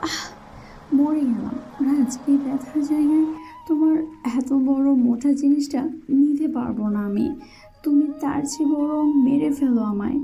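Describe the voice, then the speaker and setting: A woman's voice: high voice, moderate pace, vocal fry, dark tone, voice pushed up, poor audio. A woman voicing a reluctant, pleading young newlywed wife in a romantic audio drama. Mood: distressed, protesting. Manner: plaintive, protesting. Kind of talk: romantic audio story dialogue. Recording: music behind the voice.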